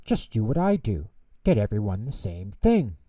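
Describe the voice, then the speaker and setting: A man's voice: lowish voice, big pitch swings, moderate pace, mellow. A man voicing a bossy but caring little girl in a children's storybook, recorded in a roomy space. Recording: roomy echo, distant microphone.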